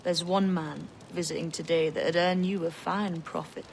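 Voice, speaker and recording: A woman's voice: lowish voice, steady tone, moderate pace, vocal fry, a little mellow, a little hiss or hum, rough recording. A woman playing a sly, teasing, boyish young pirate with a knowing manner in a video game. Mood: shrewd, informative. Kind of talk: dramatic video game acting.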